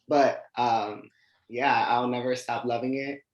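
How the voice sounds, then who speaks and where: A man's voice: mid-range voice, fairly even intonation, slow pace, muffled recording. Young man chatting in an echoey room. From United States. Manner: informal, conversational, heavy use of "like". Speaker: podcast guest, dancer and artist. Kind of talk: casual interview chat. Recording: echoey, roomy sound.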